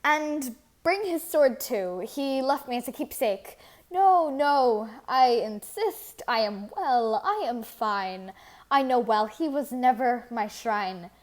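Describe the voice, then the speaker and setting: A woman's voice: fairly high pitch, expressive, takes it slowly. A woman playing a proud, scorned queen of antiquity in a declamatory, theatrical reading of verse drama. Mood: feverish resolve.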